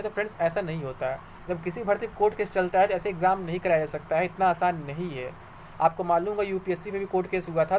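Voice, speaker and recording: A man's voice: sits high, slight background noise. A man giving informal explanatory commentary to viewers, conversational and argumentative, repeating points for emphasis. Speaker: exam news YouTuber.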